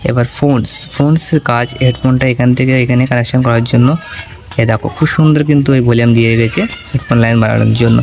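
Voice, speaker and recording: A man's voice: mid-range voice, steady tone, fast talker, gravelly, a little mellow. A man giving an informal gear walkthrough, explaining step by step and often checking that the listener follows. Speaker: audio gear reviewer.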